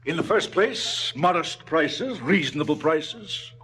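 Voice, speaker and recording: An actor playing a cynical, brooding crime boss in a stylised radio drama, sardonic and businesslike about killing. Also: male voice, on the high side, animated, slow, pushed and bright, breathy edge, full character voice. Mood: indignant lecturing.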